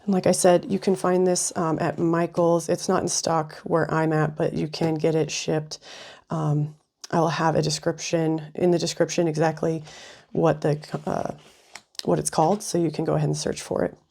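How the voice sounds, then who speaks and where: Chatty woman, slightly raspy from a cold. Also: sits low, flat delivery, moderate pace, a little mellow. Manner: chatty, with frequent ums and restarts. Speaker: craft video host. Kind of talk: casual craft tutorial.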